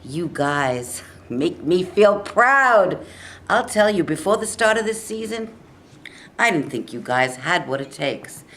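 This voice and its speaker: A woman playing a stubborn, proud male college football coach in a staged reading, with an emphatic, deliberate delivery. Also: on the low side, sing-song, fairly bright tone, exaggerated voice. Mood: rousing.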